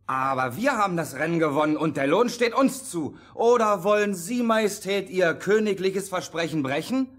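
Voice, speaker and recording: A bold, cunning soldier from a fairy-tale radio play. His voice is high and husky, mock-polite and used to giving orders. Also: expressive, normal speed, hardly any pauses, a bit bright. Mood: commanding. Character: bold, confident, cunning soldier leading a band. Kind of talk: theatrical radio play acting.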